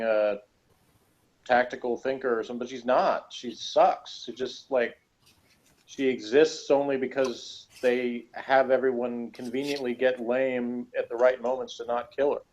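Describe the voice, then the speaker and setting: A man talks in a casual, blunt way, reviewing as he goes with a critical slant. His voice sits at an average male pitch, and the recording is a little dull and lo-fi. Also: a little mellow. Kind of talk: casual chat reviewing a TV episode.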